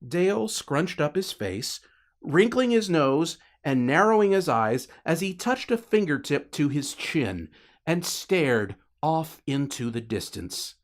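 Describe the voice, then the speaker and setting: A man's voice: on the high side, big pitch swings, slow. A man narrating fiction as a scripted, dramatic audiobook reading.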